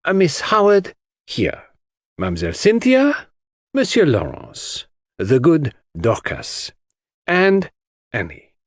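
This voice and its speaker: Man acting theatrical foreign detective, animated and husky. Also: fairly high pitch, takes it slowly, pauses often, no echo, studio quality, pitched above the performer's own voice, exaggerated voice. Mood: pompous, courteous. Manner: grandiose, courteous, emphatic. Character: theatrical, complacent, excitable foreign male detective.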